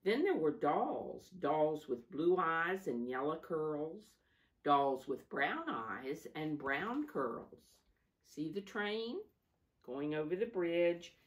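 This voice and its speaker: A woman reading a storybook aloud to young children as a teacher, deliberate and instructive, stressing key words and adding simple asides. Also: sits low, sing-song. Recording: voice distant from the microphone.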